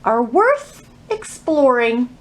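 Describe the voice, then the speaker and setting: A woman's voice: high voice, big pitch swings, takes it slowly, slight background noise. A woman presenting a children's storytime, explaining things simply, asking young listeners questions and reading aloud.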